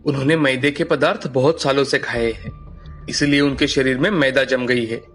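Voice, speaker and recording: A man's voice: medium pitch, steady tone, moderate pace, a bit bright, soft background music, close to an everyday voice. A man voicing a stern, concerned doctor in a cartoon story.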